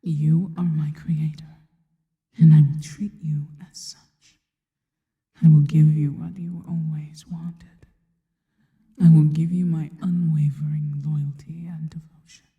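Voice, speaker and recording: A woman voicing a seductive, possessive yet devoted female spider monster in an ASMR roleplay, mostly in a slow, breathy, teasing whisper. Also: sits low, flat delivery, phone-quality sound. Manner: slow, teasing, intimate delivery. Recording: long reverberant tail on the voice.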